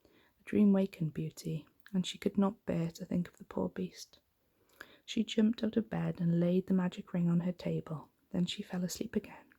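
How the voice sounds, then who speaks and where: Female ASMR storyteller: low, mellow, gently creaky voice, soft and hushed bedtime narration. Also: steady tone, moderate pace.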